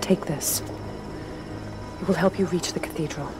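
A stern young warrior woman of a mountain people in a game, acted by a woman. Her voice sits a little low, serious and guarded. Loud music runs behind it. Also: normal speed, lightly acted. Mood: grave warning. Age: young adult. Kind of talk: cinematic game acting.

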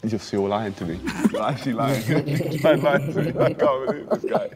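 A man competing on a guessing game show, loud and teasing, often laughing. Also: medium pitch, sing-song, normal speed, over a noisy crowd. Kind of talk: excitable banter.

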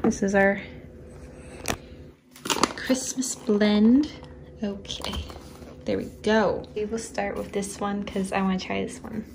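A young woman chatting casually in her home kitchen at night, giggling and often dropping to a hushed voice, recorded on a phone camera on the counter. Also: medium pitch, fairly even intonation, slow, creaky, long pauses, background noise. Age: young adult. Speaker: vlogger. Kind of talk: casual cooking vlog chat.

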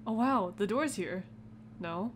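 A woman's voice: mid-range voice, expressive, slow pace, a little hiss or hum. A woman hosting a gaming video with casual, reactive commentary, muttering informal asides to herself.

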